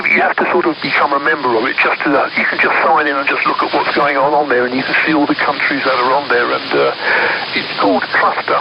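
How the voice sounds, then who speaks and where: British male radio operator, gravelly, chatty and friendly, heard through a dull, noisy CB signal. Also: mid-range voice. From United Kingdom. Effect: CB radio transmission. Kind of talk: casual radio contact chat with procedure words.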